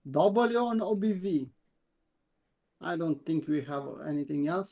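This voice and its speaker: A man from Romania with a Romanian accent, reviewing crypto charts on a live stream in a halting way, with many fillers and repeats. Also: high voice, darkish tone. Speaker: co-host, crypto chart analyst.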